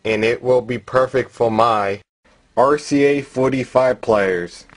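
A man's voice: medium pitch, slow. A man giving a casual, matter-of-fact demonstration as a hobbyist, talking plainly from behind a camera indoors. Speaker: vintage radio hobbyist demonstrating a repair. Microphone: camera microphone.

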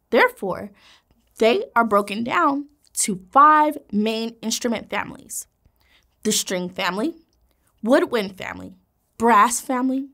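A woman in her thirties, a teacher presenting a kids' educational video with an animated, encouraging manner. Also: on the high side. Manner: animated, encouraging, gestures while speaking. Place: indoor classroom studio set.

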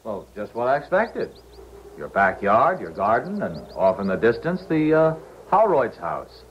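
An actor playing a sober, skeptical university proctor in a 1970s radio drama, measured and matter-of-fact. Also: male voice, mid-range voice, very animated, a little hiss or hum. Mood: puzzled, skeptical. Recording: muffled 1970s radio recording.

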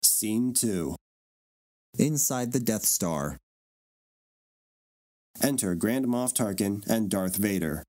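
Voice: medium pitch, expressive, slow pace, pauses often, a little mellow. A narrator reading scripted stage directions for a dramatic reading.

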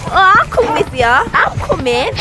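A woman's voice: very high-pitched, very animated, unhurried, heavy background noise, heavily acted. A woman acting a whiny, playful young woman in an amateur audio drama, pleading and wheedling. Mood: eager pleading. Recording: loud music over the voice.

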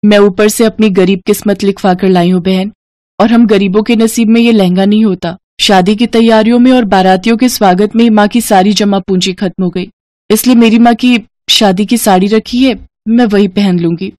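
A woman's voice: mid-range voice, fairly even intonation, moderate pace, a little mellow, no echo, lightly acted. A woman plays a meek young bride-to-be from a poor home in a cartoon tale. She sounds earnest, dutiful and plaintive, in a close, dry recording. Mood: sad, resigned.

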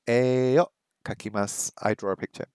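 A middle-aged man live-streaming from a small room at home on a headset mic, chatting casually and repeating practice phrases slowly. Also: mid-range voice, steady tone, darkish tone, smooth, dry recording, studio quality. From English first language, lives in Hong Kong. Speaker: streamer learning Japanese.

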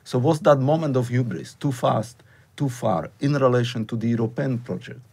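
A middle-aged man from eastern Slovakia interviewing a guest, asking a long question with halting restarts, at a desk microphone in a studio. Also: mid-range voice, a little mellow, husky. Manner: halting, repeats words while framing a question. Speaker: interviewer, associate professor. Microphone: desk mic on stand.

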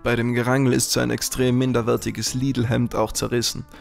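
A man acts a friendly kebab shop owner on the shady side. His mid-pitched voice crackles with fry, in the style of a detective audio spoof. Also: level tone, normal speed, faint music behind, natural voice. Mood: genial. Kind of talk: parody detective radio play.

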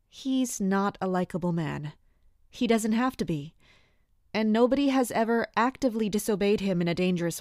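An actress playing a dutiful, guarded mercenary captain, narrating in a measured, serious way in an audio drama. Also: female voice, medium pitch, warm tone, no echo, studio quality, lightly acted. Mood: suspicious, guarded. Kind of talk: scripted first-person audio drama monologue.

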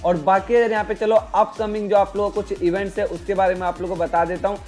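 A man's voice: high voice, level tone, fast talker, darkish tone. A young man explaining game events as a video host, constantly addressing viewers with repeated filler phrases. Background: background music.

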